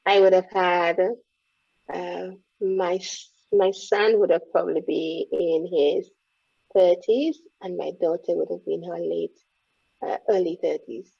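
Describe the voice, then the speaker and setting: A woman talks in a low, gravelly, husky voice. She is mellow and reflective as she shares her story. The audio is dull and lo-fi. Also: fairly even intonation, measured pace. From Caribbean, born in Grenada, raised in Trinidad. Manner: reflective, speaks openly about hard memories. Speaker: guest, empowerment coach. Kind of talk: candid interview conversation.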